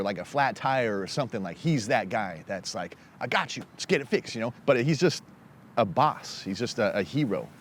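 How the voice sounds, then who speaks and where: A man's voice: mid-range voice, slight background noise. A man, a fellow skater, talking casually and admiringly.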